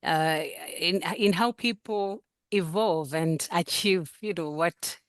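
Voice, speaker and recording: Low-voiced woman, halting and earnest. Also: dry recording, clean studio sound. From Tanzania. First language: Swahili. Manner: earnest, halting, many repeated words. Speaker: coaching program participant. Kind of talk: sharing experience in a group video call.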